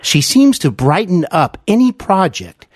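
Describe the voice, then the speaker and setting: An American man hosts a podcast with an effusive, scripted delivery. His voice sits fairly high and moves around a lot in pitch. The sound is close and dry, with a slightly husky edge. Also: big pitch swings, measured pace, dry recording. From United States. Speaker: podcast host.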